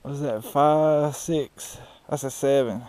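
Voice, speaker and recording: An excitable man with a Southern US accent who repeats himself, chatting casually on a fishing vlog from a small open boat. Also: medium pitch, takes it slowly, no room sound. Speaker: fishing vlogger. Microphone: action camera, worn or mounted nearby. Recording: outdoor action camera with background noise.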